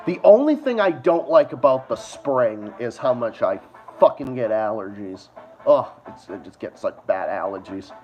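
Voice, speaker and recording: Streamer host, man, Boston accent, loose profane rambling, mid-pitched voice, dull lo-fi sound with faint music. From Massachusetts. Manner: rambling, profane, trails off softly. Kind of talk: casual livestream chat.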